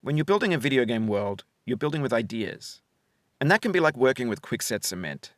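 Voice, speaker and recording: A man giving reflective scripted narration as a game developer, in a measured, articulate, philosophical manner. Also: medium pitch, big pitch swings, no echo, studio quality.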